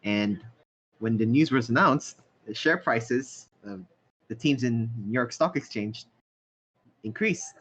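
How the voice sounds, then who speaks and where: Husky young man hosting a podcast. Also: medium pitch, slow pace. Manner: relaxed, conversational, with fillers. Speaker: podcast host. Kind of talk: casual podcast chat. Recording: dull lo-fi mic.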